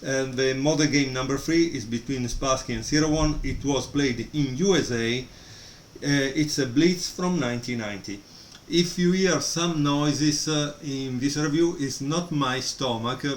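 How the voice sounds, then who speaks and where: Guy rambling through a book review, lots of uhs, middle-pitched voice, faint noise in the room. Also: unhurried, echoey room. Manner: hesitant, many fillers. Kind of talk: casual video review. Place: room at home, storm outside.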